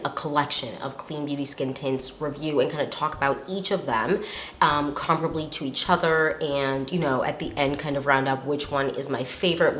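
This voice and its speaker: A woman's voice: low voice, fairly bright tone. A young woman with a slightly nasal voice from a cold, chatting casually and rambling with lots of fillers while reviewing products. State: recovering from a cold. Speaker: beauty vlogger. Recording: echoey room with faint noise.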